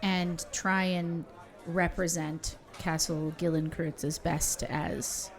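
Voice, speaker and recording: A woman player in a tabletop game, animated as she describes her character's actions. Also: on the low side, slow. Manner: animated, lots of likes.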